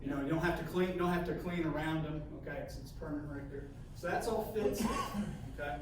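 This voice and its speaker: A man leading a sales training session, halting and repeating words, often ending points with "okay?". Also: on the high side. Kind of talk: coaching a training session with role-play. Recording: echoey room, mic far off, faint hiss.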